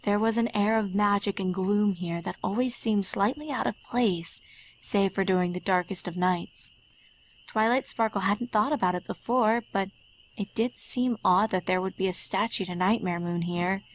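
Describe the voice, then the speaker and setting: A woman reading scripted fan fiction as the narrator, in a measured storytelling manner. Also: medium pitch, poor audio. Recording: faint music under narration.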